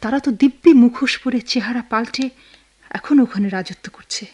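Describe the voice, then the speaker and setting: An actress playing a caring, anxious sister-in-law in a family radio drama, with a homely, probing manner and a Bangladeshi accent. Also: female voice, fairly high pitch, brisk, a little mellow, highs cut off, lightly acted. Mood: worried. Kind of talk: Bengali radio drama acting.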